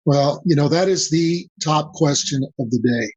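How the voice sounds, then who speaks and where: An older man from Mexico whose first language is Spanish, a guest on a podcast interview, talking in a measured way and repeating key phrases for emphasis. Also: a little high, a little mellow, husky, no echo. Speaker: guest, senior advisor. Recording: dull dry lo-fi audio.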